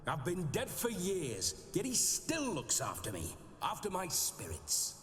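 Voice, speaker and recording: A man's voice: sits high, huge pitch swings, unhurried, fairly bright tone, slight background noise, full character voice. An actor playing the jovial, boastful ghost of a hard-drinking nobleman brawler in a video game. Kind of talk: video game cutscene acting. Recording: game audio with reverb and music.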